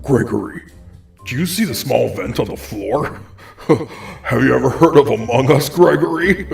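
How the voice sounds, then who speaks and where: A man's voice: medium pitch, expressive, normal speed, vocal fry. A man voicing a big, goofy, protective animatronic bear in a cartoon comedy skit, jokey and theatrical. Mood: teasing, hammy. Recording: roomy echo, music behind.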